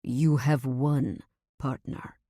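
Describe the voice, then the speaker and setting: Woman putting on a low, calm, possessive husband. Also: extremely slow, no room sound, clean studio sound, heavily acted.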